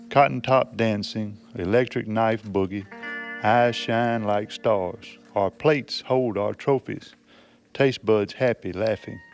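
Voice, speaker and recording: A man's voice: lowish voice, creaky. A plainspoken, understated man sharing personal writing and thoughts as a poetry student. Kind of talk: halting personal talk and plain poem reading. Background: guitar music behind the voice.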